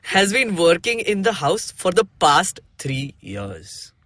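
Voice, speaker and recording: A man's voice: high-pitched, sing-song, takes it slowly, pushed and bright, dry recording. A man with an Indian accent co-hosting a podcast, chatting casually and narrating a comic.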